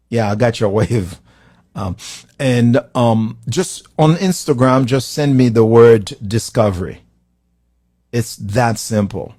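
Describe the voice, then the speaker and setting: A man from Haiti with a Haitian accent, giving a live-streamed motivational talk to viewers, building his lines with slow, preacherly emphasis. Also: medium pitch, dark tone, no room sound, clean studio sound. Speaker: motivational speaker.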